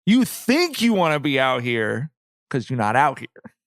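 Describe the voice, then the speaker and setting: A man's voice: mid-range voice, big pitch swings, measured pace, no echo, clean studio sound. An American man hosting a podcast, moving between casual banter and mock-dramatic reading. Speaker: podcast host.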